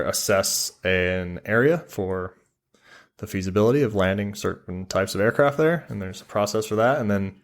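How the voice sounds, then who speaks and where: Deep-voiced man explaining things casually. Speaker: interview guest, military air traffic controller. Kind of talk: casual explanatory interview chat.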